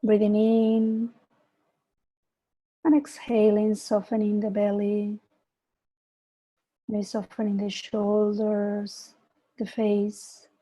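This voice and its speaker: A woman from Peru, a mindfulness teacher, giving an online talk and gently guiding a meditation over a video call. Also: medium pitch, completely flat delivery, poor audio. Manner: slow, soft guiding voice with gentle invitations. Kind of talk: online presentation and guided meditation.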